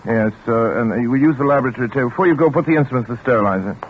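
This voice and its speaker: An actor playing a cold, obsessed scientist and surgeon in a 1940s radio drama, speaking in a clipped, imperious, detached manner. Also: male voice, medium pitch, very fast, slight background noise, lightly acted. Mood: curt, calculating. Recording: phone-like old radio broadcast with loud music.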